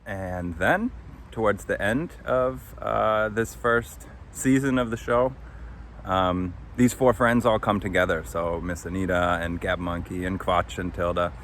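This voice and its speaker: A man casually recapping as a podcast host and author, halting and hesitant, speaking outdoors. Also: low voice, fairly bright tone. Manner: hesitant, halting with long pauses. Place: outdoors under a tree. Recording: outdoor ambient noise.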